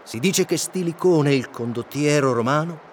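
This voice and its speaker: A man's voice: a little high, normal speed, a little hiss or hum, lightly acted. An actor playing a dry, exasperated, by-the-book man guide in an audio drama, grumbling expressively as he sticks to the script. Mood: explaining. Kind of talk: naturalistic audio drama dialogue.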